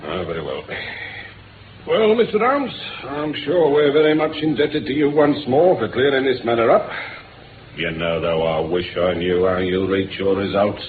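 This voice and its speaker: A man's voice: medium pitch, big pitch swings, normal speed, lightly acted. An actor playing a blustery veteran British police inspector, deferential to his betters, in a 1950s radio drama. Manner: blustery, emphatic. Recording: tinny noisy old radio recording.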